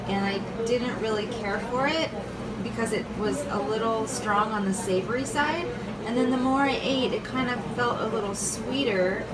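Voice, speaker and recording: A middle-aged woman chatting casually in a rambling, self-deprecating way for her diet vlog. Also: on the high side. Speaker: vlogger. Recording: distant mic with loud background noise.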